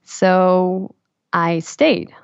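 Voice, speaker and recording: A Swedish woman in her thirties with a slightly low voice talks through her life story in an unhurried, reflective way. Her voice sits close and dry, with a somewhat dull, muffled tone. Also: smooth, dry recording. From Sweden. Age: 30s. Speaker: podcast co-host. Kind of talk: personal storytelling in a podcast chat.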